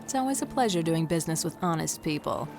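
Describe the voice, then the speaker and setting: A woman playing a sly, mercenary pirate captain in a video game, wry and teasing, with a gravelly voice. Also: deep voice, expressive, fast talker. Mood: sly, amused. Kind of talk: dramatic video game cutscene acting. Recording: music behind.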